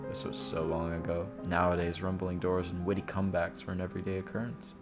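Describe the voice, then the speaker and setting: A man's voice: sits low, little pitch movement, fast talker, loud music behind the voice. A man streaming a visual novel, reading the narration aloud with informal, casual asides.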